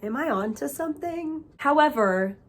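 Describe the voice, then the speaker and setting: A young woman chatting excitedly to camera as a book vlogger, sounding a little nasal from a cold. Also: high-pitched, normal speed. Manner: excited, chatty, talks to viewers as friends. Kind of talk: casual chat to camera.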